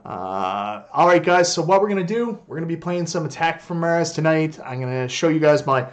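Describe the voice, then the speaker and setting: A man's voice: fairly high pitch, lively intonation, moderate pace. A Canadian man in his forties with a light accent, casually chatting on a live stream, greeting viewers and joking about his own skills. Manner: chatty, greets viewers by name, self-deprecating.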